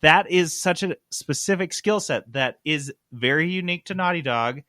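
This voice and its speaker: A man's voice: on the high side, moderate pace, dry recording. Dry-sounding man, emphatic podcast talker. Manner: animated, emphatic, sweary, sarcastic. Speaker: gaming podcast host. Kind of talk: casual comic explaining of game industry news.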